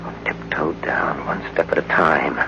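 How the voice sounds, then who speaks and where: A man acting a brave young hero in an old-time radio drama, with an earnest, halting urgency. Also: rumbling low, steady tone. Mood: tense, determined. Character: brave, tense young hero facing a monster. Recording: muffled, noisy old radio broadcast recording.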